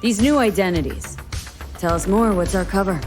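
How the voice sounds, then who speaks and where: An actress playing a wry, tough, guarded young mercenary in a video game, with a dry, understated manner. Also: female voice, mid-range voice, moderate pace, darkish tone, soft background music, lightly acted. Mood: guarded, businesslike. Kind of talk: dramatic video game acting.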